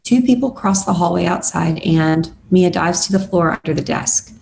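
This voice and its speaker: A woman's voice: lowish voice, moderate pace, gravelly, a little mellow, slight background noise. A woman reading screenplay stage directions as scripted narration at a table read.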